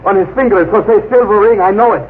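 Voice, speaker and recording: An actor in an old radio drama playing an earnest, excitable servant. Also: male voice, sits high, quick pace, close to an everyday voice. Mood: agitated accusing. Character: excitable Spanish-speaking servant man, earnest accuser. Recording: muffled, narrow-band old radio recording, hissy.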